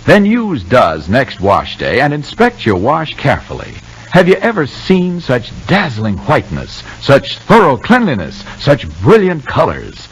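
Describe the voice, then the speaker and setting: A man's voice: medium pitch, huge pitch swings, breathy edge, poor audio. Theatrical, swooping 1950s radio announcer man. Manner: theatrical, deliberate, rhetorical questions. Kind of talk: 1950s radio announcing, dramatic narration and sponsor copy.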